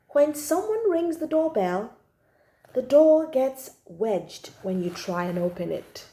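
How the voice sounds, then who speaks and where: Woman, children's storytime reader, high pitch, swooping intonation, emphatic delivery, roomy echo. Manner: animated, emphatic, acts out the child narrator's feelings. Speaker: storytime host reading a children's book aloud. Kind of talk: expressive children's storybook narration. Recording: echoey room.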